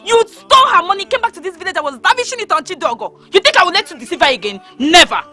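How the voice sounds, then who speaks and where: A woman's voice: very high voice, very animated, very fast, sharp tone, heavily acted. An actress playing a fierce young woman driving a man out to protect her aunt. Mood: angry. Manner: scolding, confrontational. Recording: music underneath.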